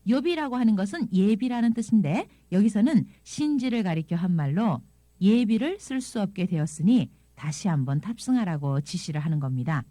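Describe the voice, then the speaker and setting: Woman lesson presenter, animated intonation, mellow tone, dry studio sound, explanatory. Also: mid-range voice, moderate pace, dry recording. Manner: explanatory, expressive reading. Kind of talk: scripted audio magazine lesson.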